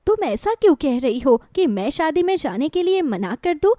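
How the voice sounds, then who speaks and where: A woman plays a gentle, wronged young sister-in-law in a moral tale. The voice is high and very expressive, pleading in a hurt, earnest, reproachful way. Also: moderate pace, no echo, studio quality. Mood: hurt, protesting. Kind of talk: dramatized Hindi moral storybook.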